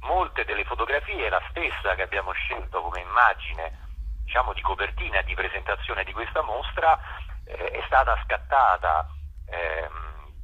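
A man explaining things informally as a radio interview guest, often halting to search for words, with enthusiasm for his subject. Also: sits low, lively intonation, breathy edge, narrow, tinny audio. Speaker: interview guest, spokesman for a park conservation association.

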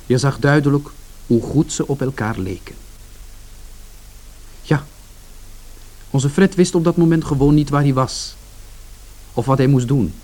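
An actor playing a reflective son who narrates a bleak family story in a radio drama. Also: male voice, mid-range voice, measured pace, long pauses, a little mellow, natural voice, rough recording. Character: George, reflective younger son recalling his family's bleak Saturday. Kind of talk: scripted first-person narration in radio drama.

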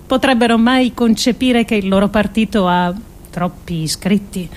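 A woman delivering a theatrical radio monologue in speech, deliberate and emphatic, with dramatic pauses. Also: medium pitch. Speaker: actress reading adapted essay.

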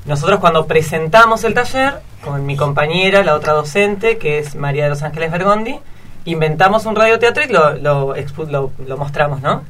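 A woman's voice: low-pitched, animated, a little fast, a bit bright. A woman with an Argentine accent, a schoolteacher talking casually and telling anecdotes as a guest in a radio studio. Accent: Argentine Spanish. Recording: distant mic, faint background noise.

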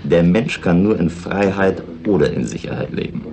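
An actor playing a wry, cynical local tavern regular in a German radio play, ironic and knowing. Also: male voice, sits low, lively intonation, normal speed, gravelly, mellow. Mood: cynical, explaining. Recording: 1960s radio play with crowd behind.

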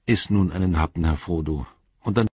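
A man's voice: deep voice, brisk, mellow, breathy edge, no room sound, highs cut off. A man voicing a plain-spoken, loyal but suspicious halfling servant for an audiobook, in a homely, grumbling manner. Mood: homely encouragement. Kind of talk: audiobook character voice.

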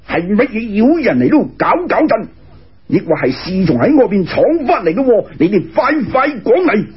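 A man's voice: high voice, sing-song, normal speed, husky, full character voice. A man with a Cantonese accent voicing a proud, protective old male dragon in a storytelling tale, boastful and emphatic. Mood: alarmed, demanding. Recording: muffled lo-fi radio recording.

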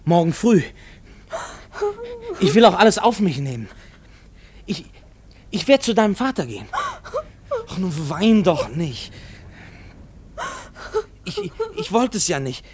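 On a noisy old radio recording, an earnest, troubled husband speaks haltingly. The voice moves up and down with feeling, as in 1950s radio drama. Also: male voice, sits high, sing-song, darkish tone. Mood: pleading, distressed. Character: earnest, troubled husband, a railway engine man. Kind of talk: 1950s German radio play acting.

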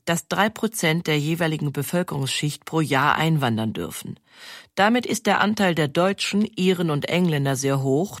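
A narrator reading a scripted history documentary in a measured, explanatory way. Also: medium pitch, no room sound, studio quality.

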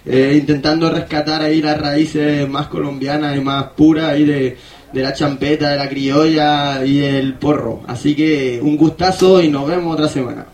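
A man hosting a radio show in casual talk, a bit halting as he searches for words. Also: on the high side, steady tone, darkish tone. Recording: roomy, echoey sound.

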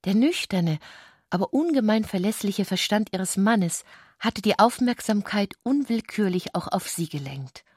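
A woman narrating literary prose for a radio play in a breathy voice. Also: medium pitch, expressive, unhurried, a little mellow, dry recording.

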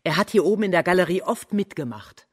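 Servant for radio play: raised pitch, venomous, emphatic, blunt, fiercely loyal. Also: male voice, animated, fast talker, husky. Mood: bitter, gossipy. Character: venomous, blindly loyal servant with a sharp tongue. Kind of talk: German radio crime drama acting.

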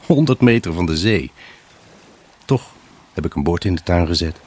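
An actor playing a wistful, evasive husband left alone, in a halting, pensive radio drama monologue. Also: male voice, sits low, animated, a little mellow, slightly rough. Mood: wistful, resolute. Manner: halting, pensive, addressing an unseen buyer. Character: wistful, evasive abandoned husband selling his house.

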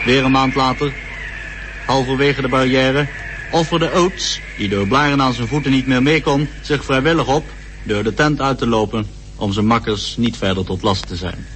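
A man acting as the narrator of a historical radio play. His mid-range male voice is sober, grave and factual. The old radio recording has clearly audible noise. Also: mid-range voice, close to an everyday voice. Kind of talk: scripted documentary narration in radio drama.

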